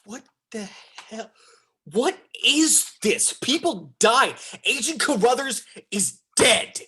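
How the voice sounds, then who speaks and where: A woman's voice: fairly high pitch, lively intonation, slow pace, bright, forceful tone, slightly rough, full character voice. A woman reading an indignant, appalled FBI agent in an amateur table read. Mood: shocked, indignant.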